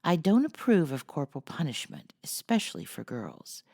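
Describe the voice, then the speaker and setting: A woman voicing a principled, gently firm mother in a storybook reading, speaking in a measured, moralizing way. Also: deep voice, huge pitch swings, gravelly, full character voice. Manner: measured, moralizing, kindly stern.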